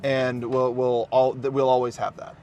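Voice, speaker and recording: A man's voice: medium pitch, little pitch movement, moderate pace, darkish tone, a little hiss or hum. A man talking casually as a guest film reviewer, unscripted and emphatic, often repeating his phrases. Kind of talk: casual, unscripted movie discussion.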